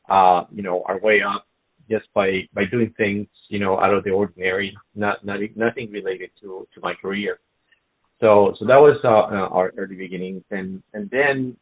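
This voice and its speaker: A middle-aged man from Colombia, a business guest in a casual interview, speaking haltingly with many fillers and restarts. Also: lowish voice. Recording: phone-like narrow sound.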